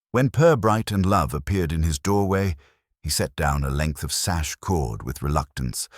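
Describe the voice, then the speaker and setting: A man reading scripted story narration in a measured, literary storytelling manner. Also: deep voice, no echo, clean studio sound.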